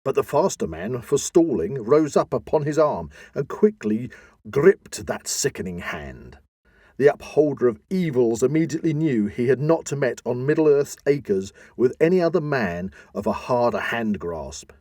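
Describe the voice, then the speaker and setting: A man giving a dramatic reading of epic poetry in a theatrical, deliberate manner with emphatic swells. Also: mid-range voice, sing-song, warm tone, no echo, clean studio sound. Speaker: podcast host.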